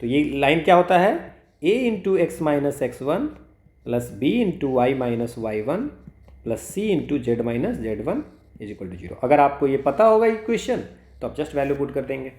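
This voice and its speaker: A man's voice: mid-range voice, very animated, slow, darkish tone. A man working through exam math problems step by step, mixing Hindi and English and asking and answering his own questions. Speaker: exam prep math teacher. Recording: echoey room.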